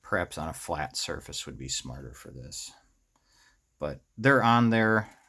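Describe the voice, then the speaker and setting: Slow, low-voiced man thinking out loud. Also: sing-song. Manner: musing, thinking out loud in fragments. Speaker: host of a DIY circuit bending video series. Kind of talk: unscripted hands-on tutorial commentary while working.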